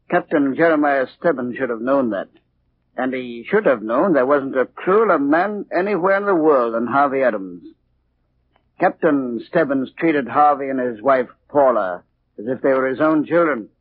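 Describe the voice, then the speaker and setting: Husky old sea captain. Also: male voice, mid-range voice. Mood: brooding, bitter. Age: older. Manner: unhurried, brooding storyteller. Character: ancient, weary sea captain telling a tale. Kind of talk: 1940s radio acting. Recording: muffled 1940s radio recording.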